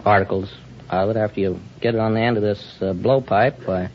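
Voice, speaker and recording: A man's voice: fairly low pitch, steady tone, fairly quick, slight background noise. A man answering plainly and hesitantly as a contestant on a muffled 1950 radio quiz broadcast. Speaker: quiz show contestant, glassblower.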